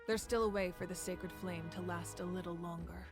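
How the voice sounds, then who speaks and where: An actress voicing a proud, protective female ruler in a video game, speaking calmly, steadily and with resolve. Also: fairly low pitch, brisk, music in the background, close to an everyday voice. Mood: resolute calm.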